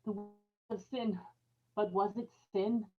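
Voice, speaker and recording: A woman's voice: medium pitch, steady tone, a little slow, highs cut off, natural voice. A woman playing a tormented, bitter young wife confessing to murder in an old-time radio horror drama, in an anguished dramatic delivery. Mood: bitter, brooding.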